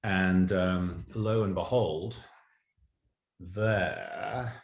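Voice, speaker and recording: A man's voice: sits low, steady tone, extremely slow. A man recounting events casually as an interview guest, in a hesitant, stop-and-start way. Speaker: podcast guest, writer and producer. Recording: roomy, echoing sound.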